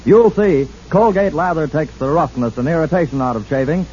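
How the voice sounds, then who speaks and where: A man announcing a radio commercial in a brisk, upbeat salesman manner. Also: fairly high pitch, a little mellow. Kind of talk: read commercial. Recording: muffled vintage radio, faint hiss.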